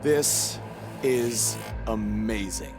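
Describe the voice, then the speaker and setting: An actor playing an earnest, determined young wrestling hero with plain-spoken delivery in a video game cutscene. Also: male voice, lowish voice, extremely slow, vocal fry, music in the background, exaggerated voice. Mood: weary, hesitant.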